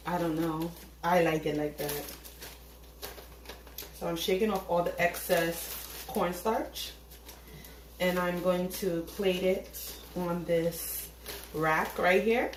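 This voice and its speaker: A woman's voice: on the low side, slight background noise. Chatty woman cooking in an echoey kitchen. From Haitian family. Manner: chatty, joking, swears casually, sings snatches. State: tired, late at night. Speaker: home cook vlogger. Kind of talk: casual cooking vlog chat. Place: home kitchen.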